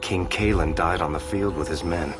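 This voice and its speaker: A man's voice: sits low, normal speed, lightly acted. An actor playing a wry male dwarf who tells a story under questioning, dry and sardonic. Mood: storytelling. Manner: dry, sardonic, laughs things off. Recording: game music behind.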